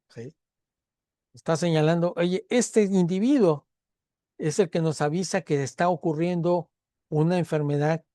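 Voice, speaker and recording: A man's voice: high-pitched, warm tone, dry recording, studio quality. A man with a Mexican Spanish accent giving a university lecture in a conversational way, acting out patient dialogues and checking in with students. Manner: conversational, acts out patient dialogues, frequent fillers and tag questions.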